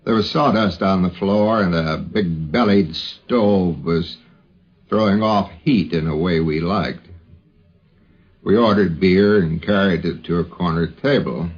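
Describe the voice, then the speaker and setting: Male narrator, low and unhurried, scripted reminiscence on a dull 1950s radio recording.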